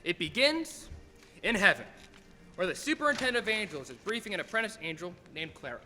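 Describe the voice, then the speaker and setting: A young man serves as announcer and narrator of an old-time radio play. The voice is high and lively, in a reverberant hall with music behind it. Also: a little fast. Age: teens to young adult.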